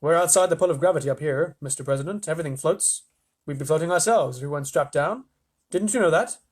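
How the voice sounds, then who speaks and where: A man voicing an excitable, cheeky storybook astronaut with a blunt, slangy manner. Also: a little high, quick pace, slightly rough. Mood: matter-of-fact. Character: excitable, cheeky male astronaut Shanks reporting by radio.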